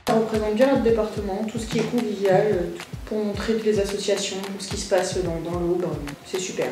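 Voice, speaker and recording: A woman's voice: mid-range voice, steady tone, a little fast. A woman in her thirties from France who answers hesitantly with many fillers. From France, Sarcelles. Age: 30s. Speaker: association steward. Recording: distant, very echoey room with music.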